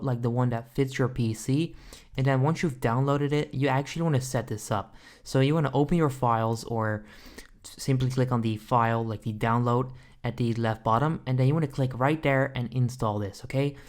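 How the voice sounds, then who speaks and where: Male tutorial host, mid-pitched, informal, step-by-step instructional delivery. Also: darkish tone. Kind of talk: casual software tutorial narration.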